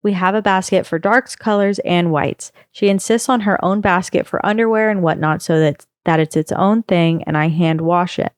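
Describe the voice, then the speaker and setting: Female podcast reader, low and smooth, steady measured storytelling delivery. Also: dry recording, clean studio sound. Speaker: podcast co-host reading a story. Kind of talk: reading a first-person online post aloud.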